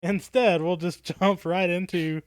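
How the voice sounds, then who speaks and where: A man's voice: high-pitched, fairly even intonation, a little slow, dry recording. A man around his thirties co-hosting a casual livestream chat, rambling along in a jokey, tongue-in-cheek way. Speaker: podcast co-host.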